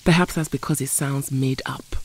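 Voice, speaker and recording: A woman's voice: a bass voice, steady tone, normal speed, darkish tone, slightly rough, heavily acted. A woman playing a proud, guarded mutant heroine in an audio drama, with music under her voice. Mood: teasing.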